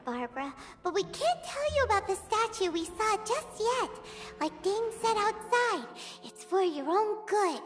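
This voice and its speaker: Tiny game fairy kid voice, super high, slow-ish and earnest, cheerful, music behind. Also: female voice, heavily acted. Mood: apologetic, hushed. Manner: speaks of herself in third person, exclamatory. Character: tiny cheerful childlike floating fairy companion, earnest and chatty. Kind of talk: video game character acting.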